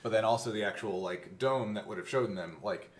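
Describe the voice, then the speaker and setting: A man chatting casually as a game master looking back on a show, telling it in an animated way and acting out reactions. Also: on the low side, brisk. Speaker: game master recalling a show's first season. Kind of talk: casual behind-the-scenes chat.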